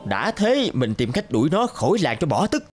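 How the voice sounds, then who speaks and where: A man's voice: fairly high pitch, very animated, normal speed, voice pushed up. A man voicing a jealous, spiteful young villager scheming in a fairy-tale cartoon. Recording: loud music under the voice.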